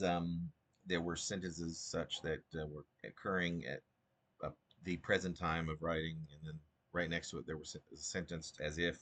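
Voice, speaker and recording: A man with a husky voice speaking as a poet about and from his own work, in an informal, halting and digressive way. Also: sits low, a bit bright. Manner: halting, digressive, self-interrupting. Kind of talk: rambling informal commentary and poetry reading.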